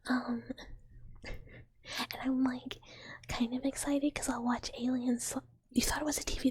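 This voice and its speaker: A woman streamer whispering casual, giggly, rambling chat to her viewers. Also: high voice. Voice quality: whispering, very breathy. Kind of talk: whispered ASMR chat while reading viewer comments.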